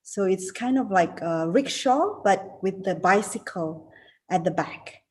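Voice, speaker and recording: Woman in her fifties from Singapore, slow and halting, video call keynote with many fillers. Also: medium pitch, expressive, a little mellow. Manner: hesitant, many fillers and restarts. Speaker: keynote speaker, alumna. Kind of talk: reunion speech and Q&A answers.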